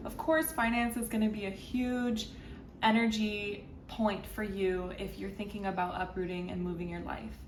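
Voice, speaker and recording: A woman from California shares her thoughts in an earnest, reflective vlog, with a gentle, encouraging way of speaking. Her voice sits in a roomy space, picked up from a little distance, with a faint hiss underneath. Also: medium pitch, little pitch movement, normal speed. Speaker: vlogger. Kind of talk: casual personal vlog.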